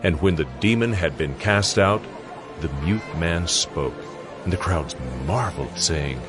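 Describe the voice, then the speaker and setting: A man's voice: sits low, animated, unhurried. A man narrating a dramatized audio Bible in a solemn storytelling manner. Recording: music behind the voice. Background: background music.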